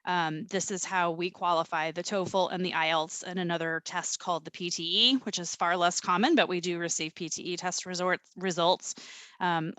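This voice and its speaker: A woman's voice: fairly low pitch, level tone, normal speed, a bit bright, no echo. A woman from a university admissions office giving an informational presentation on graduate applications, with frequent ums as she explains each step.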